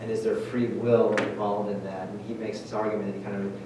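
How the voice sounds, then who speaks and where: Chatty priest in an echoey hall. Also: male voice, fairly low pitch, brisk. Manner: animated, filler-heavy, lots of "like". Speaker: priest giving a parish talk. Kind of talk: casual talk and Q&A. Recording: echoey church hall, distant mic, noisy.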